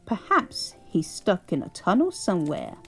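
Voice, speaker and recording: A woman's voice: fairly low pitch, huge pitch swings, moderate pace, fairly bright tone, soft background music, heavily acted. A woman voicing a big, self-important male steam engine in a snooty, pompous way.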